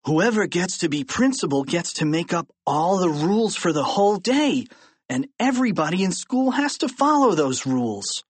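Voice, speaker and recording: A man's voice: sits high, big pitch swings, normal speed, breathy edge, dry recording, pitched above the performer's own voice, exaggerated voice. Man putting on an eager, cheeky schoolboy.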